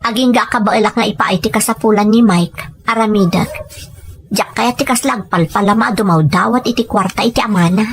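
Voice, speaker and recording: A woman's voice: mid-range voice, brisk, slight background noise, close to an everyday voice. A woman playing a proud, self-reliant hardworking mother, speaking earnestly and firmly in a dramatic family story. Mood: defiant. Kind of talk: dramatic Ilocano radio-style storytelling.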